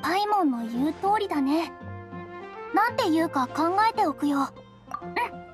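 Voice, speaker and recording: An earnest girlish scholar from a game, with a very high voice. She is gentle, precise and thoughtful, with music playing behind. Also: lively intonation, unhurried. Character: earnest, studious, soft-spoken young female researcher. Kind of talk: Japanese game voice acting.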